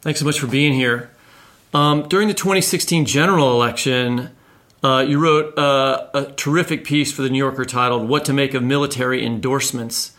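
A man's voice: mid-range voice, steady tone, moderate pace, fairly bright tone. A man co-hosting a podcast interview, asking loose, rambling questions. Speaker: podcast co-host.

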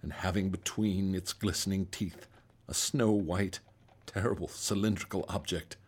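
An actor playing an anxious, haunted doctor in radio drama, a reluctant accomplice who tells of horrors in florid, grave words. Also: male voice, low voice, steady tone, normal speed, husky. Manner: grave, florid storytelling, fretful in dialogue. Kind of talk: audio drama in old-time radio style.

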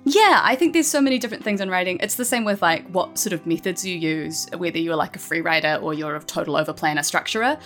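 A woman with a slightly low voice talks quickly and reflectively. Her phrasing is casual and tentative, with faint music behind. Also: a bit bright. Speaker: audio fiction writer, podcast guest.

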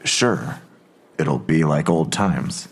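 A man acting a weary, cranky middle-aged investigator in an audio drama, terse and dry, with a gravelly, husky voice. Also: extremely low pitch, slow, exaggerated voice.

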